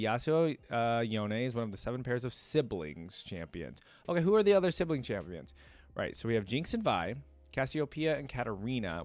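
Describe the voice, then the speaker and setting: A man's voice: mid-range voice, no echo. A man hosting a reaction video, thinking aloud and talking to viewers in an informal, slangy, chatty way.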